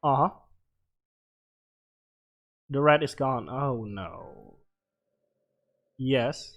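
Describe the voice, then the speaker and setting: A man doing casual game commentary, reading on-screen text aloud and reacting with short, halting interjections. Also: mid-range voice, lively intonation, gravelly. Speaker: let's player. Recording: music playing behind the voice. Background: game music.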